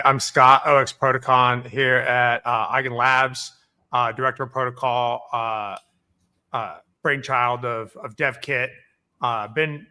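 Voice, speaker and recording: Tech director guy on a livestream, casual, bright sharp voice, mid pitch. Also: clean tone. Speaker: protocol director, company panelist. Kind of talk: casual self-introduction on a livestream.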